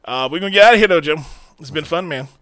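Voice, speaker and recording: A young man hosting a casual comedy podcast, joking loudly and laughing often. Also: fairly high pitch, animated. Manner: loud, laughing, fast joking delivery. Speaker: podcast host.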